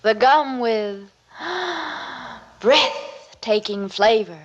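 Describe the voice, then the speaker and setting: Vintage commercial slogan voice, woman, high and drawn-out, muffled with long reverb. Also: lively intonation. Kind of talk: 1950s radio advertisement. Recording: muffled old radio recording, long reverb.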